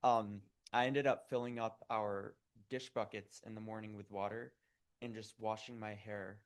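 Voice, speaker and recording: A teenage male student with a fairly low voice answers questions in front of a meeting. His phrasing is casual and youthful, feeling its way along with some hesitation. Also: level tone, normal speed.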